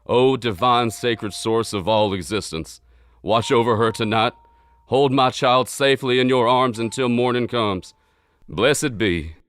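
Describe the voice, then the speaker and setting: A man playing a gracious, caring, brooding father with a somber baritone and a syrupy Southern accent. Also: a little slow, fairly bright tone, smooth, close to an everyday voice. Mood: prayerful. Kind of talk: audio drama acting.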